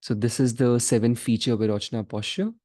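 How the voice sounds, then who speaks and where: A man leading a guided meditation as a teacher, giving measured instructions in short phrases with pauses between them. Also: medium pitch, fairly even intonation, dark tone, no echo, studio quality.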